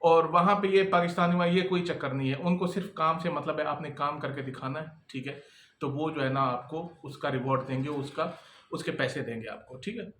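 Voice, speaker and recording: A man's voice: fairly high pitch, a little fast, fairly bright tone, slight background noise. Brief: male instructor, raised voice, casual lesson style, keeps asking "okay?", roomy echo. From Pakistan. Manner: conversational, keeps checking in with "okay?". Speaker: freelancing instructor and employer. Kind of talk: casual talking-head lesson.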